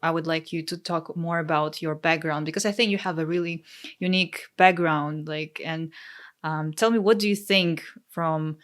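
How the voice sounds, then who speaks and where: A woman hosting a casual interview, speaking in a hesitant, halting way as she searches for words. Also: sits low, fairly even intonation. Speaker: podcast interviewer.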